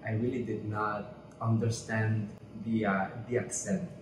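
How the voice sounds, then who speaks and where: An earnest, animated young man from Cuba tells his story as an interview guest. He sounds a little distant from the mic in a roomy indoor space, with a faint background hum. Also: fairly low pitch, unhurried. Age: 20s. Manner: earnest and animated, gestures while talking. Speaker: interview guest, pageant candidate. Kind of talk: casual interview chat. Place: bright indoor room.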